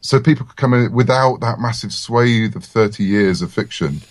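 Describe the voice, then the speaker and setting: A man talking casually as a writer guest in a podcast interview, telling stories in a self-deprecating, halting way. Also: mid-range voice, no room sound.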